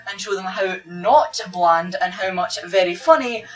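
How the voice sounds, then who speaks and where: A woman's voice: mid-range voice, a little slow, close to an everyday voice. Woman playing a theatrical, mocking court jester, distant mic. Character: flamboyant theatrical court jester with gleefully violent humor. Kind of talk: improvised in-character question-and-answer skit.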